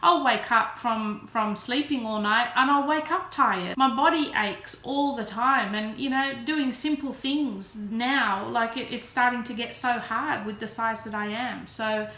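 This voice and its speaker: A woman's voice: fairly high pitch, a little slow, few pauses, bright, forceful tone. A woman in her early forties talking casually and candidly in a chatty, self-deprecating personal vlog. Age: early 40s. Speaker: vlogger. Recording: roomy echo, faint background noise.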